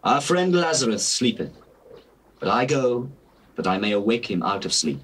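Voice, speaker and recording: A solemn, compassionate holy teacher in a scripture audio drama. The voice is British and husky, at a middle pitch, with measured, commanding declamation. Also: male voice, big pitch swings, fairly bright tone, poor audio. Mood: solemn, assured. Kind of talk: dramatized scripture acting, theatrical.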